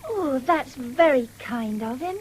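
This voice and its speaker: A woman's voice: high voice, animated, takes it slowly, darkish tone. An actress playing an eager, kindly and rather shy young woman in a radio drama. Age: young adult. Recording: faintly noisy 1970s radio recording.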